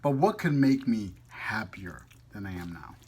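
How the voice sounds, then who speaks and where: A man's voice: fairly low pitch, big pitch swings, unhurried, a little mellow, slightly rough. A man chatting casually and playfully in a food vlog, rambling a little as he talks while eating. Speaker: food vlogger. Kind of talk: casual mukbang chat.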